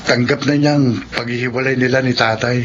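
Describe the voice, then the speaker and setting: Actor as earnest Filipino teenage son, muffled. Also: male voice, medium pitch, fairly even intonation, brisk, fairly bright tone, close to an everyday voice. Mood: friendly, sincere. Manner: respectful, sincere. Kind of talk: Filipino radio drama acting. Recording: muffled radio drama, clear noise.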